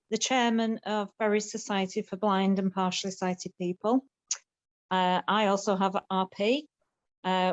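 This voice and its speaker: A woman's voice: medium pitch, dry recording. Chatty woman on a dry-sounding call. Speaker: society chairman. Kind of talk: introducing herself on a call. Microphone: video call.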